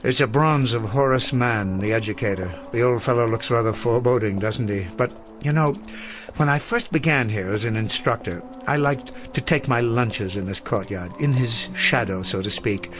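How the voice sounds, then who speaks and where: An actor playing a weary, self-doubting elderly schoolteacher, speaking formally and eloquently in a radio drama. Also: male voice, medium pitch, normal speed, over music, close to an everyday voice. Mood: wistful.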